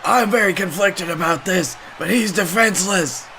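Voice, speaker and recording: A man's voice: sits high, moderate pace, gravelly, a loud crowd, poor audio. A man giving comic color commentary on wrestling as a co-host, wry and mock-dramatic in manner.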